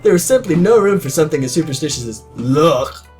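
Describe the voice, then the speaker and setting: A man in his twenties reads a story aloud with a slightly high, animated voice. He keeps undercutting the narration with sarcastic, crude asides. Music plays underneath. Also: a little fast. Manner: sarcastic, crude, self-mocking. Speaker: reader of a comedic readalong.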